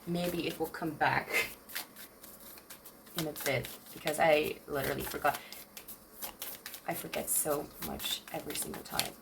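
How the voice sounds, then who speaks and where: Rambling young woman, slightly raspy. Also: sits low, steady tone, a little slow, long pauses, heavy background noise. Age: 20s. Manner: hesitant, rambling, self-interrupting with many fillers. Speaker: tarot reader. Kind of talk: rambling tarot reading.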